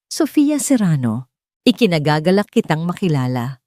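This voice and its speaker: Lady reader doing snobby jealous ex girlfriend from a romance story, low sweet voice with a bite. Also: big pitch swings, normal speed, warm tone, no room sound, clean studio sound. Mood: coolly polite. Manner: sweet on the surface, cutting underneath. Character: elegant, jealous, scornful former lover, young woman.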